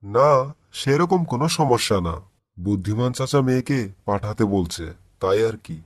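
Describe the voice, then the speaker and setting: A man's voice: lowish voice, animated, moderate pace, no room sound, clean studio sound. A man as an earnest village cartoon father. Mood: persuading. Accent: Bangladeshi. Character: worried, mild-mannered village father seeking advice. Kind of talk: cartoon moral story dialogue.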